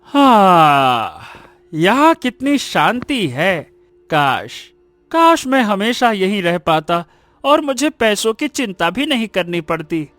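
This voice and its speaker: A man voicing an excitable, stubborn poor village schoolboy in a Hindi cartoon story, exclaiming and repeating words emphatically. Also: high-pitched, slow pace, no echo, full character voice. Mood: wistful, curious.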